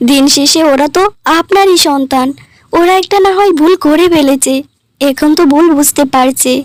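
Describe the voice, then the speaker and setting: A woman voicing a kind, forgiving elder sister-in-law with a gentle, considerate manner in a cartoon. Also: sits high, normal speed, dry recording, natural voice. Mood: pleading. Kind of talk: cartoon dialogue.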